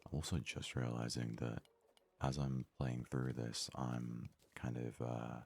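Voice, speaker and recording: A man with a deep, rough, gravelly voice gives soft-spoken, unhurried ASMR commentary as a gaming host. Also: level tone. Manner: soft-spoken, low-key, unhurried musing. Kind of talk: ASMR let's play commentary.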